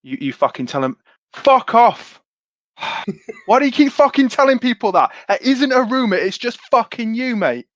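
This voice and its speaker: A man's voice: medium pitch, sing-song, measured pace, pauses often, fairly bright tone, slightly rough, dry recording, muffled recording, heavily acted. A man playing an exasperated, foul-mouthed male character in a tabletop game, protesting indignantly. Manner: indignant, sweary.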